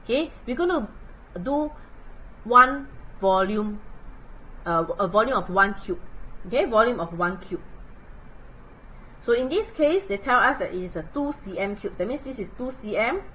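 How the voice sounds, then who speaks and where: Animated Singaporean woman tutoring math. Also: fairly high pitch, normal speed, long pauses, a little hiss or hum, rough recording. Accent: Singaporean English. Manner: chatty, animated, uses local particles like lah and leh. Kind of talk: livestream math lesson.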